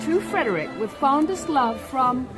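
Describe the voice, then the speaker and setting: A woman's voice: high voice, animated, a little slow, over music, crowd noise. An actress playing a genteel, polite wife in a radio drama who soothes and teases her husband. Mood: soothing, teasing.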